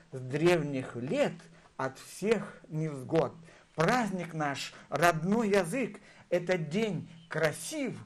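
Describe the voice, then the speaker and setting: Male guest, halting and emphatic. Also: fairly high pitch. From Gagauzia, Moldova. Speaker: poet, TV programme guest. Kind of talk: talk show discussion and verse recitation.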